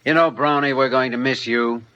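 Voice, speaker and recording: An actor playing a friendly, grateful male doctor with an easygoing, gentle manner in a 1950s radio drama. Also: medium pitch, steady tone, normal speed, smooth, natural voice. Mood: fond, grateful. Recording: noisy, dull vintage radio recording.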